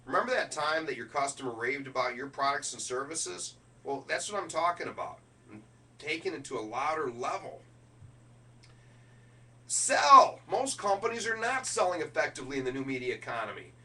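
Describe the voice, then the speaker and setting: A man delivering a motivational business keynote speech in an emphatic, deliberate way, punching key words as a speaker. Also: a little high, animated, bright, forceful tone. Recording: distant microphone with faint noise and hum.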